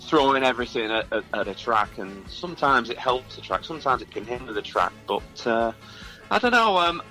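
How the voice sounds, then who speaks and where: A man's voice: mid-range voice, expressive, a little fast, pushed and bright, faint music behind, poor audio. A man speaking casually as a musician guest in an interview, self-deprecating and rambling a little hesitantly.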